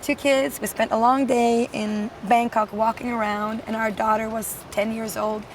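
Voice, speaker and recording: A woman musician with a naturally hoarse voice, chatting in a friendly casual interview outdoors. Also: fairly high pitch, flat delivery, moderate pace. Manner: friendly, laughs easily. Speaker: musician guest. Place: outdoors at a festival. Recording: distant mic, faint street noise.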